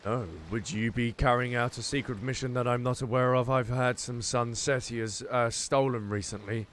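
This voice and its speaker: A man's voice: mid-range voice, steady tone, moderate pace, fairly bright tone, a little hiss or hum. A man giving casual, playful gameplay commentary, joking, repeating phrases and bantering with the characters. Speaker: gaming content creator, host.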